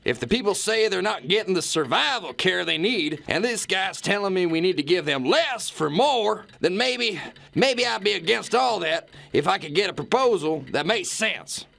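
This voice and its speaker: A man's voice: high voice, creaky, fairly bright tone, exaggerated voice. A man playing a blustering, folksy boss in a satirical radio drama, talking emphatically. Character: blustering, folksy male boss ruling a foundation. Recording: music playing under the voice.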